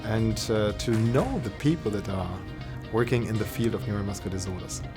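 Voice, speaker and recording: A middle-aged man, chair of a prize committee, speaking in an interview in a measured, slightly halting way. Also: lowish voice. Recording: music playing underneath.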